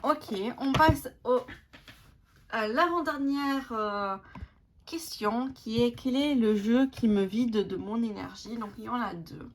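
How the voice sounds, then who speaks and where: A woman whose first language is Italian, casually showing tarot and oracle decks, with many pauses and restarts. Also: a little high. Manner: hesitant, many fillers and restarts. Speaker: tarot and oracle deck reviewer. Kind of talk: casual show-and-tell vlog. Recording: clear background noise.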